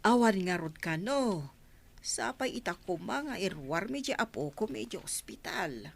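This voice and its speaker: A woman's voice: medium pitch, expressive, a little slow, creaky. A woman playing a worried, pleading grandmother in an Ilocano radio drama.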